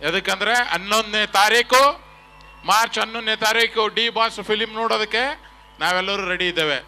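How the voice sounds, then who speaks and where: High-pitched North Karnataka man rallying fans. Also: fairly even intonation, fairly quick, sharp tone. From Karnataka, India. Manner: rallying, chant-leading, addresses crowd directly. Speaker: guest speaker at a film promotion event. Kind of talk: rousing public stage speech to fans. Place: stage at a public event.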